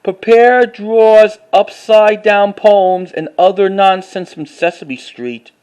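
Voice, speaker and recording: A man with a high-pitched voice talks casually as he shows off a collection. He reads titles out and speaks in a halting way, often restarting or repeating a phrase. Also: darkish tone, smooth. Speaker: collector showing finds to camera. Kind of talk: casual unscripted show-and-tell narration.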